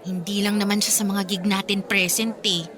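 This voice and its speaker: A woman's voice: mid-range voice, little pitch movement, moderate pace, a crowd in the background, close to an everyday voice. A woman playing a blunt, teasing, suspicious young best friend in a radio drama, with a Filipino accent.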